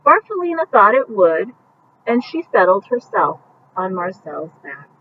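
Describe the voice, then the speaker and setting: A woman reads a bedtime picture book at a slow pace. She pauses for casual, gentle asides about the pictures. The recording is muffled and picked up from some distance, with faint noise behind it. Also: medium pitch, very animated. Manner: gentle explaining, pointing out pictures as to young children. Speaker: storybook reader.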